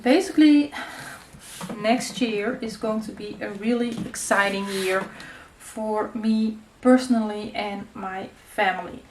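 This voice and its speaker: A woman's voice: medium pitch, a little slow, darkish tone. Chatty Dutch woman crafting in an echoey room. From Netherlands. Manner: chatty, many fillers and searching for words. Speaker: crafting channel host. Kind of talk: casual tutorial chat while crafting. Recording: echoey room with faint noise.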